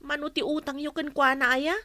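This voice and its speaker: A woman playing a kind young woman who gently offers to lend money. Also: high-pitched, flat delivery, a little fast, no echo. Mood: gentle.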